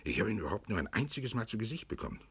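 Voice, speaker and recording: An actor playing a scheming, amused official with a drawling, insinuating manner in a 1950s German radio play. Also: male voice, lowish voice, very animated, rapid-fire, fairly bright tone, slightly rough, full character voice.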